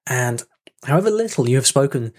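A man's voice: mid-range voice, expressive, brisk, darkish tone. A man hosting a solo podcast, talking casually with many fillers, restarts and small laughs. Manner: chatty, self-interrupting with fillers and laughs. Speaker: podcast host.

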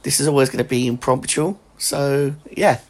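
A man's voice: medium pitch, moderate pace, a little mellow. A man, hosting a casual podcast chat and laughing often. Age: 40s. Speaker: podcast host.

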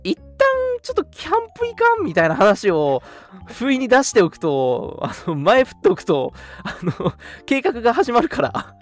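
A young man co-hosting a radio show in casual, agreeable chat, full of quick words of assent. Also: high voice, wildly swooping pitch. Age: young adult. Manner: agreeable, chatty, frequent backchannels. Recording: music bed under the talk. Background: background music.

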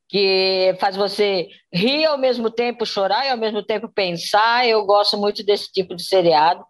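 A woman with a Brazilian accent giving her opinion in casual chat, as a cast member on a show. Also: mid-range voice. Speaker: actress on the show.

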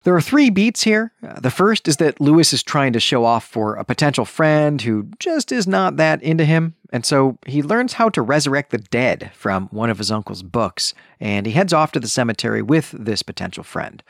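A man's voice: mid-range voice, big pitch swings, moderate pace, gravelly, few pauses, no room sound, studio quality. A man hosting a podcast, explaining a book in a conversational, emphatic way. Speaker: podcast host. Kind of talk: conversational book recap commentary.

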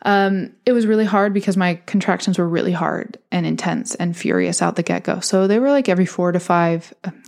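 A woman's voice: lowish voice, fairly quick, gravelly, darkish tone. A young woman telling her own story in a candid, chatty way, laughing often and mimicking voices when she quotes. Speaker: podcast host telling her birth story. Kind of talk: casual personal storytelling.

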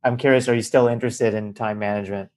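Man, podcast host, mid pitch, casual interviewer, hesitant questions. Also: fast. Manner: relaxed, hesitant questioning with fillers.

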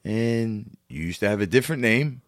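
A man's voice: fairly low pitch, normal speed, no echo, studio quality. A man hosting a podcast, talking in a blunt, casual way full of swearing. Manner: blunt, swears a lot, animated. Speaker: podcast host. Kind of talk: casual profane chat.

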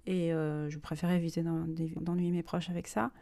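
Woman doing a solo podcast, warm dark-ish tone, dry recording, kinda hesitant and reflective, no script. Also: fairly low pitch, level tone, brisk, no echo. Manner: hesitant, reflective, searching for words. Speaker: podcast host and self-published author. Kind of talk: unscripted personal podcast monologue.

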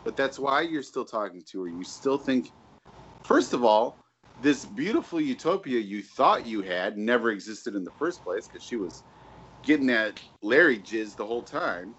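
A man's voice: medium pitch, moderate pace, dull audio, a little hiss or hum. A man who is a guest on a podcast, talking bluntly and crudely in casual banter. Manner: blunt, profane, animated.

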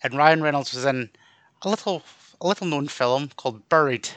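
A man's voice: a little high, moderate pace, a bit bright, dry recording. A man co-hosting a podcast in casual chat, rambling and poking fun at himself with the odd swear. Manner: rambling, self-mocking, swears. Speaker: podcast co-host.

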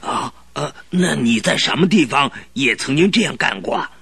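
An actor playing an excitable, crafty old duke in his seventies who stammers and exclaims in a radio play. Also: mid-range voice, moderate pace, vocal fry. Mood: grateful, curious. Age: 70s. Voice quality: flustered, full of exclamations and stammering. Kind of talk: 1970s Chinese radio drama acting.

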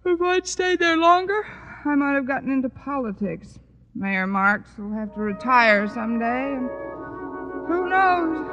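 A woman's voice: high voice, expressive, a little slow, highs cut off, music in the background. An actress in an old-time radio comedy playing a wisecracking working woman with a dry, sardonic delivery. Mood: wry, weary. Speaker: lead actress in a radio sitcom. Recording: vintage radio comedy recording.

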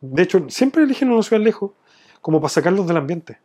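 A man's voice: high voice, sing-song, quick pace, a little mellow, husky. A man from Chile with a Chilean accent, explaining things from his own experience in a conversational interview. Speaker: business owner being interviewed.

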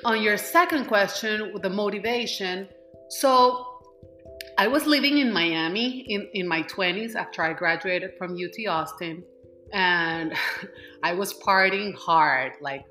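A woman from Colombia, a manifestation coach, talking as a guest in a casual interview, halting and restarting as she goes. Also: medium pitch, sharp tone, echoey room, faint music behind. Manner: halting, with many restarts and fillers.

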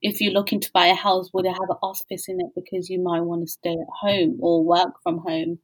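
A woman's voice: mid-range voice, fairly bright tone, rough recording. A woman hosting a casual interview, talking informally and dropping "like" often into her sentences. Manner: informal, frequent use of "like". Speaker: interview host.

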